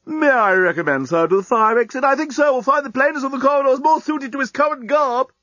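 A man's voice: very high voice, lively intonation, fast, sharp tone, no room sound, highs cut off, pitched above the performer's own voice, exaggerated voice. A man voicing a fussy, overly polite robot head waiter with a prim, unctuous manner.

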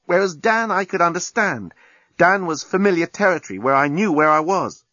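A man voicing Lucy, a thoughtful young woman who speaks softly and reflectively. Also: high-pitched, lively intonation, normal speed, dry recording, highs cut off, pitched above the performer's own voice, heavily acted. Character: young woman, wistful and resolved.

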